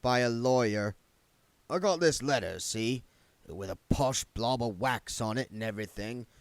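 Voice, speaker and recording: A man's voice: mid-range voice, measured pace, no echo, natural voice. A man voicing a blunt, gloomy older working-class man, a reluctant vampire count, in a book read aloud. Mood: aggrieved.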